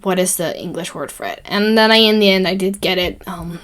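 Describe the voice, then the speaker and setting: A young Dutch woman with a Dutch accent, a native Dutch speaker, talking through a design-software tutorial in a chatty, rambling way, full of fillers and self-corrections. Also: lowish voice, brisk. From Netherlands. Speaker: tutorial host. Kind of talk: casual screen-recording tutorial voice-over.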